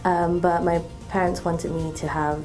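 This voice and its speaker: A woman in her twenties from Malaysia, a student talking in an interview, relaxed, with many ums and restarts. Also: fairly low pitch, a little slow, faint music behind. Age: 20s. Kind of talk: interview testimonial.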